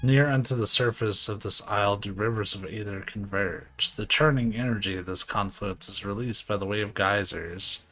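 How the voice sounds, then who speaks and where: A man's voice: lowish voice, moderate pace, few pauses, poor audio. A man reading as a formal archaic scholar. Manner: reads game dialogue aloud plainly, a bit flat.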